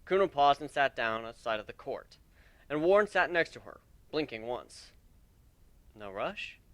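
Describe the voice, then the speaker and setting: A man's voice: medium pitch, expressive, normal speed, no echo. Male narrator for a dramatic fan fiction audiobook, mid-range voice, very dry close sound.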